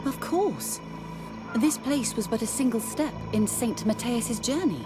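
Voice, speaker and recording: An actress playing an earnest, passionate religious sister and scholar in a video game, formal and expressive. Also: female voice, on the high side, unhurried, music in the background. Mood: earnest, hopeful. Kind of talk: dramatic video game acting.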